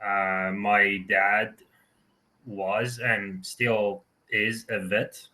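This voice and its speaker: Low, bright Iranian-accented man. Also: little pitch movement, very slow, rough recording. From southern Iran. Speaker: interview guest, tech founder. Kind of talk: conversational personal account.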